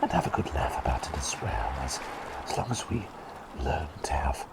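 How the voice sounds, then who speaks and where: A gentle, bumbling bird played by a man whispers in a very low, creaky voice for an ASMR roleplay. The delivery swoops up and down widely, hesitant and polite, with phrases softly repeated. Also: sing-song, moderate pace, exaggerated voice. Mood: bashful storytelling. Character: gentle, bumbling talking bird doctor, kindly and silly.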